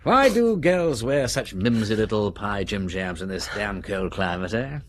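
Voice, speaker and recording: An actor playing an affable, inquisitive gentleman detective in a radio drama, with an upper-class English accent and jaunty, old-fashioned slang. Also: on the low side, expressive, unhurried. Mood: jolly, reassuring. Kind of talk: British radio drama acting.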